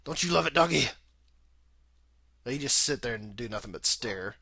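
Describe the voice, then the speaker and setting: A man's voice: mid-range voice, animated, normal speed, vocal fry, pushed and bright, breathy edge, dry recording, clean studio sound. A young man giving casual, rambling gameplay commentary in an informal way, swearing freely. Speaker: video game let's play host.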